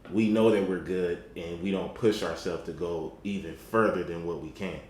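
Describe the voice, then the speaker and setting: A man in a casual group conversation, speaking in a measured way, with frequent ums and a motivational tone. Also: low voice, steady tone, a little mellow. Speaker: podcast guest. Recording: echoey room with faint noise.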